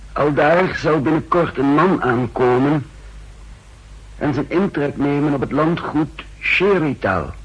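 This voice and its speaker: An actor playing a secretive, calculating male intelligence official in a halting, confidential 1960s radio drama style. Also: a little high, husky, dull audio, full character voice.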